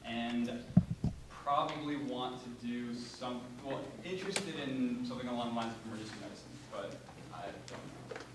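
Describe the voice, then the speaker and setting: A man's voice: mid-range voice, moderate pace. A man, a medical student, introducing himself in a hesitant, unsure way. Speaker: first-year medical student panelist. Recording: echoey, noisy, far from mic.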